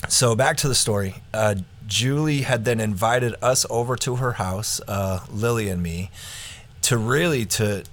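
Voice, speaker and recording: A man in his thirties co-hosting a podcast, talking in a relaxed, casual way with lots of fillers. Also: on the low side, measured pace. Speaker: podcast co-host. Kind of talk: casual conversational introduction.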